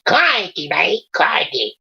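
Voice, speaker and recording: A man's voice: on the high side, wildly swooping pitch, slow, creaky, bright, forceful tone, breathy edge, voice pushed up, heavily acted. A man voicing a brash, matey Australian storyteller in a theatrical reading of fan fiction. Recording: slightly dull, lo-fi voice recording.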